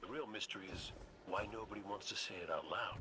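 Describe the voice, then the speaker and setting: A man's voice: fairly low pitch, quick pace, noisy background, natural voice. A man playing a cocky, wisecracking veteran robot scout in a video game, with a wry, folksy, swaggering way of talking.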